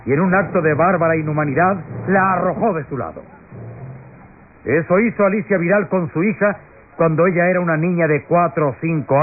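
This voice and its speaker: An actor playing a pompous, accusing prosecutor in a declamatory, solemn style, addressing a jury in a classic radio melodrama. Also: male voice, fairly high pitch, close to an everyday voice. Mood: indignant, grave. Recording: tinny, noisy old radio recording.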